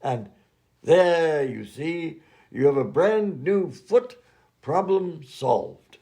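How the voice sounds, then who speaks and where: A dotty inventor doctor in a radio comedy. His voice is fairly high and swings widely in pitch, with a creaky edge, and he rambles on politely, untroubled by anything. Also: animated, drawn-out words, mellow, full character voice. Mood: breezy, fussy. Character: eccentric, absent-minded male mad doctor and inventor, breezily unconcerned. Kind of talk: comedy radio-play acting.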